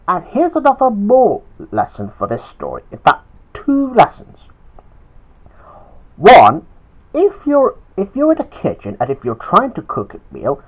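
An amateur storyteller narrating aloud with an emphatic, stop-start delivery. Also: medium pitch. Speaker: storyteller, channel host. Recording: dull, lo-fi home recording.

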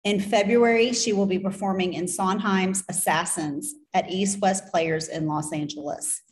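A middle-aged woman speaks as the host of a public event. She reads a prepared, formal introduction at a podium microphone in a medium-pitched voice. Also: steady tone, moderate pace. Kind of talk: formal scripted introduction, read aloud. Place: indoor auditorium stage at a lectern. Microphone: gooseneck podium microphone.

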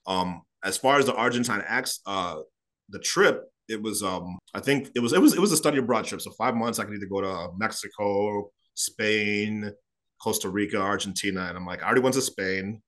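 A man in his thirties from Chicago talks quickly and fluidly on a video call, his voice sitting a little low. He is an interview guest recounting his story in a relaxed, animated way, gesturing as he speaks. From Chicago, United States. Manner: fast, animated, gestures a lot while talking. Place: small room at home.

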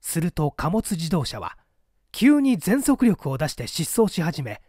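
A man reading a mystery story aloud in an expressive, scripted narrator's delivery. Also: fairly high pitch, a little slow, no room sound, clean studio sound. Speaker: audiobook reader, small-theatre actor.

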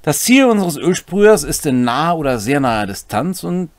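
Middle-aged male co-host, animated pitch and dry close sound, casual rules explainer with playful asides. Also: moderate pace, no echo. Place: small indoor home room.

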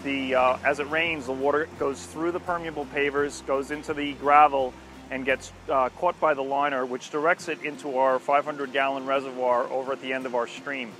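An older man giving an informal, unscripted explanation to camera outdoors, speaking in a halting, explanatory way. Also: mid-range voice, little pitch movement, a bit bright. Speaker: landscape company presenter. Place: outdoors at a street corner by a station. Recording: music behind the voice, outdoor recording. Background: music.

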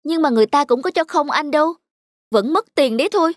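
Grumbling, penny-pinching young wife. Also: female voice, high-pitched, animated, fast talker, dry recording, studio quality. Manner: complaining. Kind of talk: cartoon voice acting. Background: music.

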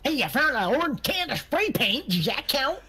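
A man playing an excitable, grumbling, bumbling Southern county sheriff in comic game roleplay, full of folksy griping. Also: very high-pitched, moderate pace, breathy edge, full character voice. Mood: fussing. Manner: folksy griping, mild cussing.

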